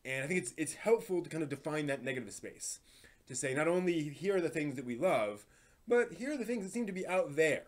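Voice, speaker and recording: A man hosting a live stream in a casual, chatty way, often halting and repeating words as he thinks aloud. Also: a little high, expressive.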